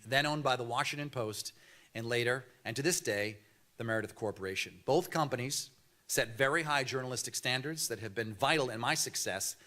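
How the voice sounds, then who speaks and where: A man in his fifties with a mild Boston accent, a TV news anchor giving a prepared award acceptance speech at a podium, earnest and measured with light storytelling humor. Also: mid-range voice, pushed and bright. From Boston area, USA. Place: banquet hall podium. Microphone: podium microphone.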